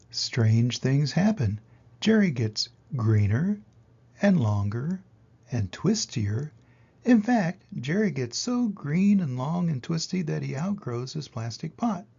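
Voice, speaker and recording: An older man reading a children's picture book aloud in an expressive way, with dramatic pauses and emphasis. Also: on the high side, vocal fry, slightly rough, dull audio. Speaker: reader of children's picture books. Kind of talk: animated storybook read-aloud.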